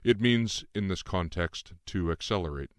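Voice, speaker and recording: Man voicing Lucien, deep, composed otherworldly being. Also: animated, moderate pace, no room sound, studio quality. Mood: detached, explanatory. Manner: formal, precise, composed, didactic. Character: Lucien, calm calculating otherworldly being in young man's form. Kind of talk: audiobook dialogue.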